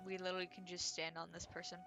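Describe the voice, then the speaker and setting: A woman's voice: on the low side, little pitch movement, very fast. A woman giving casual live gameplay commentary, musing and thinking aloud. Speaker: game streamer. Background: game music.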